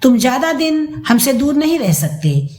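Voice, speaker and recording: A woman's voice: sits high, big pitch swings, normal speed, darkish tone, voice pushed up. A woman voicing a stern, indignant older father in a dramatised audio story. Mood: wounded anger. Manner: indignant, accusing, firm. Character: stern, angry older father rebuking his greedy son. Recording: roomy echo.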